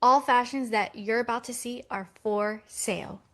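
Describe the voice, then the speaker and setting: Slightly high female voice, practical skeptical twin played by a woman, romcom table read. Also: normal speed, fairly bright tone. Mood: earnest. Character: practical, doubting millennial twin sister in a romcom.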